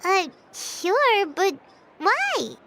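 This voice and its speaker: A woman voicing a tiny, excitable, childlike fairy companion with a squeaky voice in a video game. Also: extremely slow, noisy background, full character voice. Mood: puzzled. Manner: exclamatory, refers to herself in third person. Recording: music playing behind.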